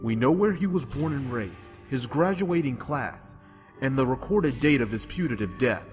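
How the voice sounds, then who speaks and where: A man's voice: medium pitch, lively intonation, normal speed, lo-fi sound, soft background music, close to an everyday voice. A man playing a composed, steadfast military commander in an audio drama, speaking formally and articulately. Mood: formal, resolute. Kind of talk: fan audio drama acting.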